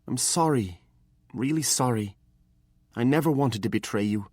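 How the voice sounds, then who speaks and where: A man's voice: mid-range voice, darkish tone, no room sound, studio quality, voice pushed up, lightly acted. A man doing an anxious boy apprentice. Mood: pleading. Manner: fast, pleading and emotional. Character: anxious young teenage boy apprentice, earnest.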